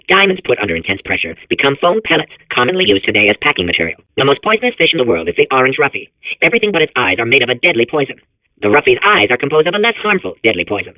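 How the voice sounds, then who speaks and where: A man voicing a malfunctioning robot core in a video game, rattling off facts with smug, eager certainty and crisp authority. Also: medium pitch, sharp tone, dry recording, poor audio. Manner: emphatic, crisply didactic, authoritative announcer delivery. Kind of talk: rapid-fire fact recital, video game character.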